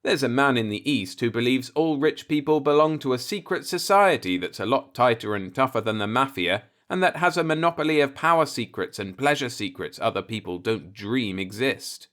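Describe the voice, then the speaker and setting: A man's voice: mid-range voice, expressive, moderate pace, few pauses, a bit bright, close to an everyday voice. A man voicing a cynical, musing older working-class man, a self-taught professor, in a folksy, rhetorical audiobook monologue.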